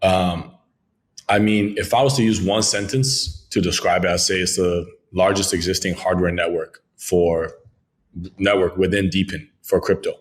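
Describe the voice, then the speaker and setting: French-speaking founder from Congo, deep low voice, fluent and jargon-heavy, peppers talk with "right". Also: male voice, steady tone, normal speed. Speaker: crypto company founder, guest. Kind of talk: podcast interview, business pitch.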